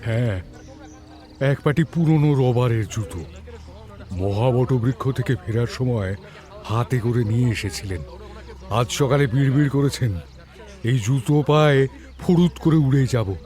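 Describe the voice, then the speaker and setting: A man playing an older, gloomy and resigned village man in an audio drama, speaking haltingly with weary pauses. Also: mid-range voice, mellow, close to an everyday voice. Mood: grave, uneasy.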